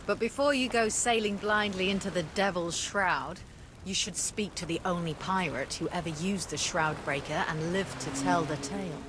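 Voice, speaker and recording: A woman's voice: lowish voice, moderate pace, close to an everyday voice. Casting: woman to play a former pirate haunted by guilt, confiding and sincere, for a game cutscene; noisy ambient backdrop. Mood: encouraging. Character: guilt-ridden ex-pirate woman hiding from her past. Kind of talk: video game cutscene dialogue.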